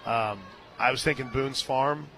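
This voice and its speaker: A man calling softball play-by-play on the radio, chatting casually between pitches and lifting with excitement on big plays. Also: a little slow, a faint crowd. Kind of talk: live softball commentary with casual booth chat. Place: stadium radio booth.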